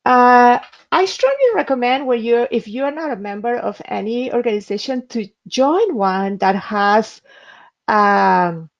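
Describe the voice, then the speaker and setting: Gravelly-voiced woman. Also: on the high side, lively intonation, unhurried. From Spain. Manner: earnest, advisory, frequent hesitations. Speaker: interpreter giving a webinar. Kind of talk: instructional webinar talk with screen demo. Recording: dull lo-fi webinar audio.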